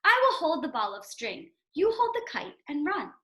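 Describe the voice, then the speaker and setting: A woman voicing a calm, helpful male storybook frog with a steady, encouraging manner. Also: sits high, moderate pace. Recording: roomy, echoing sound.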